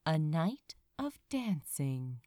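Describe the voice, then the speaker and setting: A woman narrating fiction from a script in a tender, unhurried, expressive storytelling manner. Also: low voice, no echo, studio quality. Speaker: amateur audiobook narrator.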